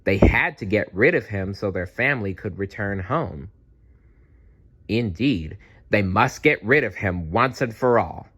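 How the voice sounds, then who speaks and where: A man narrates a children's storybook at a very unhurried pace. His lowish voice moves through a wide range of pitch, with expressive, deliberate delivery. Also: husky. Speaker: storybook reader. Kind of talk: children's read-aloud narration.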